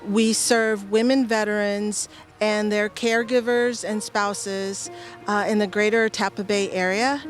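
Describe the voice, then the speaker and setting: Slow, soft-spoken woman with music behind. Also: mid-range voice. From Philippines. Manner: hesitant, many ums and restarts, soft-spoken. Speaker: guest, veteran and art-program founder. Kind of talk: interview answers.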